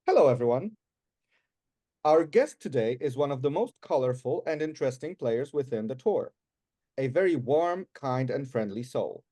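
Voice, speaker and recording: A man's voice: medium pitch, animated, moderate pace, mellow, no room sound. A man co-hosting a podcast, introducing the guest and asking questions in an enthusiastic, scripted way. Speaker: podcast co-host.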